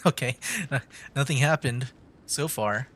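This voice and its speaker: A man's voice: medium pitch, slow pace, fairly bright tone, a little hiss or hum. A man livestreaming a game with casual, laid-back commentary, thinking aloud as he plays. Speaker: game streamer. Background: game music.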